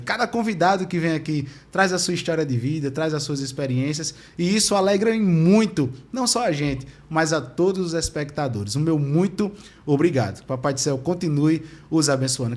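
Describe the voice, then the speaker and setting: A man hosting an interview podcast in a courteous, formal but conversational way. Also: a little high. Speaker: podcast host interviewing guests.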